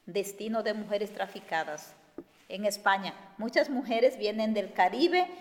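A woman from Guatemala, giving a measured lecture with many pauses and fillers. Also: medium pitch, a little hiss or hum. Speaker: speaker. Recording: echoey hall.

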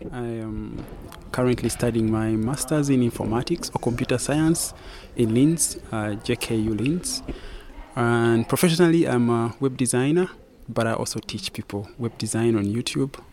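A man's voice: medium pitch, moderate pace, a little mellow. A young man from Uganda, a web designer and student, eagerly telling his story in an interview. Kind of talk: event interview.

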